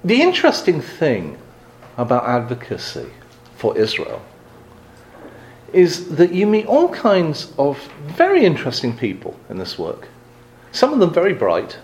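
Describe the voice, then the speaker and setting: A man's voice: fairly high pitch, a little mellow. A middle-aged man from England giving a live lecture with dry, wry humor and frequent ums and restarts. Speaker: guest speaker on advocacy.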